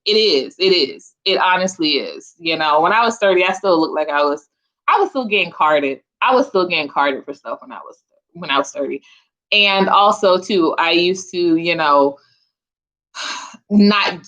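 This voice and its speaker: A woman in her forties with a bright, forward voice, talking to viewers of her live stream. She is chatty and informal, addressing the listeners directly in a loose, conversational way. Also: lowish voice, lo-fi sound. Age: 40s. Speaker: host of a live chat stream. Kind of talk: casual live chat with viewers.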